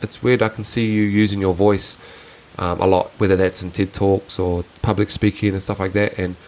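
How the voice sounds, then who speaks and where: A young man hosting his own podcast, talking in a soft voice with earnest, reflective musings and frequent restarts. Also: low voice, flat delivery, normal speed. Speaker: podcast host. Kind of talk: casual reflective solo podcast.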